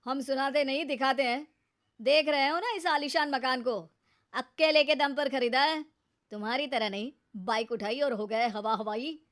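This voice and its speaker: Cartoon son-in-law, played by a woman: high voice, self-important, mocking, boastful. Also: normal speed, fairly bright tone. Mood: boastful, taunting. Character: boastful, taunting son-in-law, an adult man. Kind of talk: Hindi cartoon moral-story dialogue.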